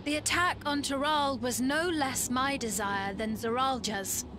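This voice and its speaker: A woman's voice: sits high, unhurried, noisy background. An actress playing a devoted but deceptive young queen in a fantasy video game, speaking in a formal, solemn manner.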